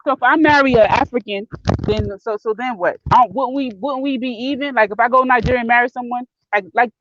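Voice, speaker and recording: High-voiced man rambling on lo-fi mic. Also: a little fast. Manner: rambling, hesitant. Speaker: chat participant. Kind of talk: casual group chat. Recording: dull lo-fi mic with faint noise.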